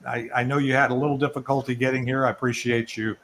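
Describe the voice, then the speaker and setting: A man's voice: mid-range voice, level tone, fast talker, a bit bright, a little hiss or hum. An older man hosting an online networking event, talking informally and rambling a little as he presents and takes questions. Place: online video call.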